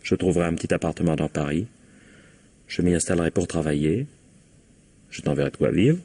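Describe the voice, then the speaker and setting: An actor playing a composed, bookish, politely cool husband with a husky voice in a 1950s-style French radio mystery. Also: male voice, sits low, brisk, warm tone. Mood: cool, detached.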